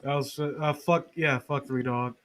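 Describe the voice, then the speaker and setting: A man's voice: mid-range voice, fairly even intonation, moderate pace. A man chatting casually with friends as a podcast co-host, rambling, sarcastic and swearing freely.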